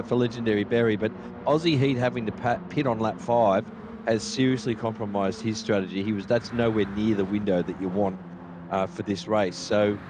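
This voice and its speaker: South African man commentating a race. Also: mid-range voice. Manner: chatty, analytical, stumbles into restarts. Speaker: sim racing co-commentator. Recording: steady background noise.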